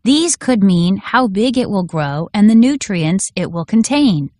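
Female narrator for schoolbook read-aloud, mid-pitched, instructional, dry sound. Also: expressive, a little slow, dark tone, dry recording. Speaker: audiobook narrator. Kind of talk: scripted educational read-aloud.

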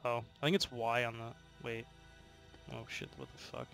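A man's voice: medium pitch, flat delivery, moderate pace, fairly bright tone, soft background music. A man streaming a horror game, casually swearing and mocking other players with frequent laughter. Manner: profane, mocking, laughs often. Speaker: gaming channel host. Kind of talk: casual gaming banter.

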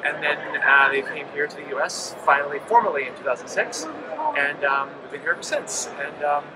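Quick-talking company rep guy, lots of ums, loud trade show noise behind him. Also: a little high. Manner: fast, fluent product explanation with frequent ums. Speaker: company representative. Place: busy indoor convention hall. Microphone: handheld mic held by interviewer. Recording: noisy trade show floor.

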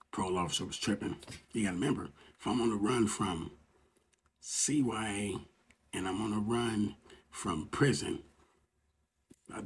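A man's voice: low voice, breathy edge. A man in his forties telling a story from his own life straight to a phone camera, in a slangy, laid-back way that keeps checking in with his listeners. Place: indoors, green-screen map background.

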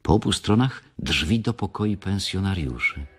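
An actor narrating a radio play, reading the scene directions in a measured, descriptive manner. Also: male voice, low-pitched, lively intonation, a bit bright, slightly rough, heavily acted. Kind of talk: scripted radio drama narration. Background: music.

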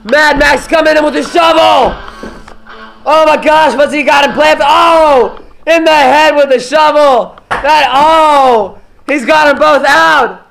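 A man giving excited, exclamatory mock wrestling commentary as the play-by-play announcer. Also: very high voice, takes it slowly, gravelly, soft background music, low-quality recording.

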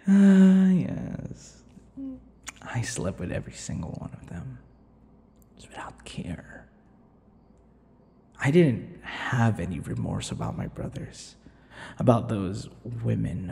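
Male actor as seductive bitter vampire, ASMR roleplay, heavy vocal fry, often whispering, swooping intonation, dramatic pauses. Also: mid-range voice, heavily acted. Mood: smug, twisted. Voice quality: breathy, gravelly, often dropping to a whisper. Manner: slow, halting, dramatic pauses and dark chuckles. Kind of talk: intimate ASMR roleplay monologue. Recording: long reverberant echo.

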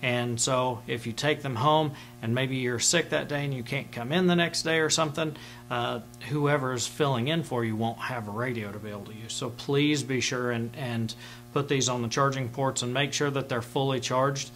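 A man's voice: medium pitch, fairly even intonation, normal speed, a bit bright, faint music behind. A middle-aged man giving an informal, step-by-step instructional demonstration in a plain-spoken way. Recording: clear background hum and noise.

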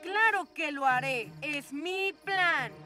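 High-pitched scheming cartoon boy villain. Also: lively intonation, takes it slowly, music in the background. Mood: smug. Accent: Latin American Spanish. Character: smug, scheming boy mad-scientist villain. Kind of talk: children's cartoon dub.

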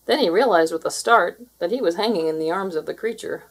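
A woman reading a children's storybook aloud as an expressive, scripted narration. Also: on the low side, brisk, husky, rough recording. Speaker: storybook reader.

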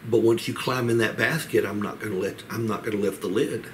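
Measured older man preaching, noisy recording. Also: on the low side, steady tone. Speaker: pastor leading a Bible study. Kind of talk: Bible teaching, expository sermon. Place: small home office, indoors. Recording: clear background room noise.

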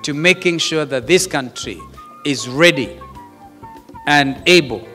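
A man giving a formal speech in a deliberate, oratorical manner. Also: a little high, pushed and bright. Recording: echoey hall PA with music behind.

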